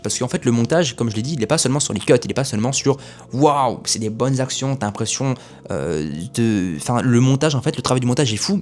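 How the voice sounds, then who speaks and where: Fast-talking young man reviewing a film. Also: mid-range voice, a little mellow. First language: French. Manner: rambling, lots of fillers and restarts.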